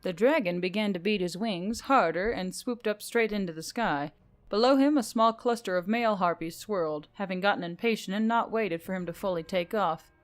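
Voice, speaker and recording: A woman reading scripted fiction as a story narrator. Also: medium pitch.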